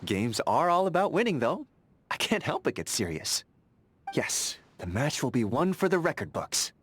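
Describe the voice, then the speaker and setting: An actor playing an earnest, vigilant young sentry in a fantasy video game, friendly but overcautious, speaking in a methodical, conversational way. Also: male voice, a little high, sing-song, moderate pace, fairly bright tone. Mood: competitive, eager. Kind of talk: video game character dialogue.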